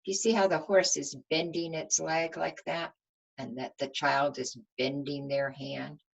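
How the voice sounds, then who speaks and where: A woman reading a storybook aloud to young children as their teacher, expressive and simply phrased, pausing to ask them questions. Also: low voice, creaky, poor audio.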